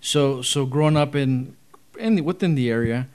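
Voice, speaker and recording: A man's voice: mid-range voice, normal speed, a little mellow, clear, resonant tone. A man hosting a casual podcast, asking questions and hesitating often with fillers. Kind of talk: casual interview chat.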